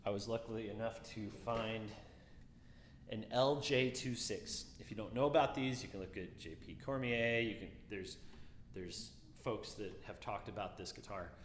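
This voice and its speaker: A man with a deeper voice giving a casual guitar review, musing and repeating words as he talks. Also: normal speed, echoey room, big-room sound, a little hiss or hum.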